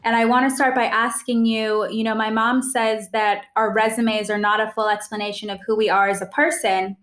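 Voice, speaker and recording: A woman's voice: on the high side, level tone, moderate pace, fairly bright tone. A woman hosting a podcast, reading a scripted introduction and asking curious, conversational questions. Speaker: podcast host.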